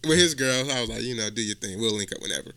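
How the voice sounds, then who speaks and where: Podcast guest, male, twenty. Bright, punchy tone, mid pitch, conversational slang. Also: lively intonation, very fast, smooth. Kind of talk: casual chat.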